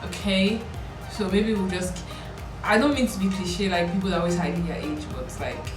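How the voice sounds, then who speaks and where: A young Nigerian woman vlogger talks in a casual, playful way. Her voice sits fairly low for a woman. It is picked up from some distance in a roomy, echoing space, with music behind her. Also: normal speed. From Lagos, Nigeria. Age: 20s. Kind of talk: casual chat to camera answering questions. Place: small indoor room with bare walls. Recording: roomy echo, distant mic, background music.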